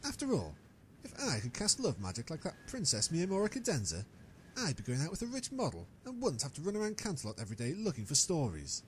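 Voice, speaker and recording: A man's voice: a little high, wildly swooping pitch, fast talker, a little hiss or hum, low-quality recording. A man playing a cocky, gossiping unicorn newspaper reporter with a knowing manner in an amateur audio drama.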